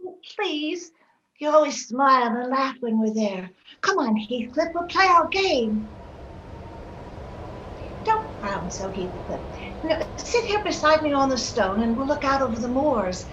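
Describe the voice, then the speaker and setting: A woman's voice: high voice, expressive, unhurried, a bit bright, slight background noise. A woman playing a willful, romantic young girl in an old-time radio drama, earnest and coaxing. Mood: soothing, coaxing. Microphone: remote video call. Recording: roomy, muffled home video-call recording.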